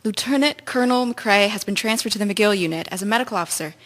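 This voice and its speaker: A woman's voice: mid-range voice, fast, fairly bright tone, natural voice. A woman playing an earnest wartime nursing sister in a radio drama, confiding news to a colleague.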